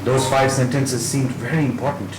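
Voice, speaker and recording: A man reading literary fiction aloud in a dramatic narrating style, with measured phrasing. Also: medium pitch, flat delivery. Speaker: reader at a dramatised book reading. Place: indoor event room at a live reading. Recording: echoey room with clear background noise.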